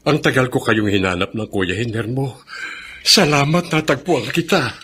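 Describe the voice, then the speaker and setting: A man's voice: mid-range voice, moderate pace, sharp tone, breathy edge. An actor playing a remorseful estranged father, pleading earnestly in a Filipino radio drama.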